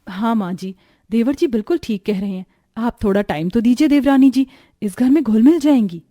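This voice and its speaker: A kind young elder daughter-in-law in a family moral story, played by a woman. She speaks politely and gently. Her manner is dutiful and placating. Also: a little high, fairly quick, natural voice. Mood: soothing. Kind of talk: dramatised moral story acting.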